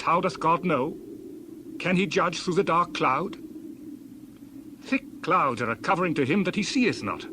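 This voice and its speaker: A man's voice: on the high side, background noise, natural voice. A man playing a stern, admonishing counselor in dramatized scripture, preaching in a declamatory way. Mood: stern rebuke. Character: stern, admonishing friend of Job, a righteous counselor.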